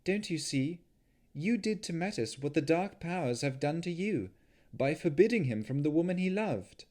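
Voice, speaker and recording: Guy doing a young warlock woman, higher and lively, earnest and pleading, storybook reading. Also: normal speed, lightly acted. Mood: pressing.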